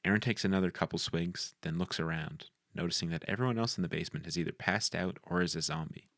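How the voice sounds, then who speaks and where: A man's voice: low voice, fast talker, gravelly, a bit bright, no echo, studio quality. A man narrating the action lines of a screenplay in a scripted read.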